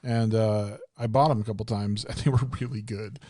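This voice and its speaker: A man's voice: on the low side, steady tone, moderate pace, warm tone, no room sound, clean studio sound. A man in his thirties co-hosting a podcast, telling rambling stories in casual chat and laughing often. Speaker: podcast co-host.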